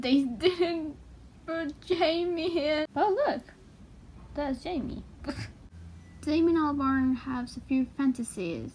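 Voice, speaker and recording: A young woman casually reads aloud and comments, halting and excitable, swearing freely. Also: sits high, slight background noise. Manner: excitable, foul-mouthed, halting as she translates. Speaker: vlogger. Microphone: handheld phone filming the page.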